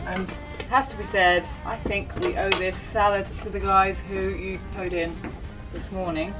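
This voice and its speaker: A woman's voice: mid-range voice, level tone, moderate pace, music in the background. Wry New Zealand woman vlogging. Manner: dry, wry humour. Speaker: sailing vlogger. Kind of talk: casual vlog narration.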